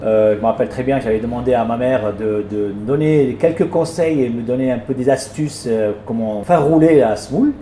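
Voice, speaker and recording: A man's voice: medium pitch, a little fast, few pauses, dark tone, echoey room, soft background music. A man who runs a small restaurant, answering interview questions in a plain, hesitant way and often repeating words as he talks. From France. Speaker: restaurant owner.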